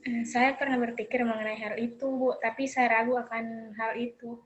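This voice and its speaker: Young woman, counseling client role-play, hesitant, flat delivery, dull lo-fi call audio. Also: on the high side, normal speed. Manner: hesitant, with soft fillers. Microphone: online call.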